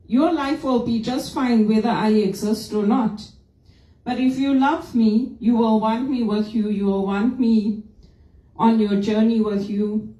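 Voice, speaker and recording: Echoey, higher-voiced woman from Zimbabwe. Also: mellow. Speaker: vlogger giving a personal reflection. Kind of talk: informal spoken reflection, part religious, part personal grievance. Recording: roomy echo, distant from microphone.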